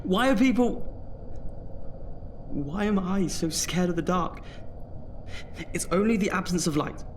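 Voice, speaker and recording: An actor playing an anxious young British student in an audio drama, nervously talking himself through his fear of the dark. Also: sits high, animated, measured pace, pauses often, a little hiss or hum. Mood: nervous, self-reassuring. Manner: jittery, muttering self-talk with sighs. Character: anxious student afraid of the dark, self-scolding.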